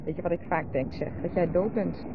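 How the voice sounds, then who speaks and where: An actress playing a blunt, mocking, provocative wife in a teasing, sardonic 1970s radio drama style. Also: female voice, fairly low pitch, brisk, natural voice. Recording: dull, noisy 1970s radio recording.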